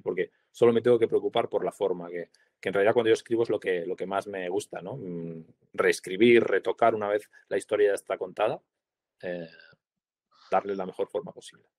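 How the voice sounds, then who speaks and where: A Spanish translator in his forties, speaking in a reflective interview over a video call, pausing often with fillers and asking little tag questions. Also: on the low side, fairly even intonation, darkish tone, no echo. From Spain. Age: 40s. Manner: thoughtful, hesitant, many fillers and tag questions. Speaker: translator, prize-winning guest.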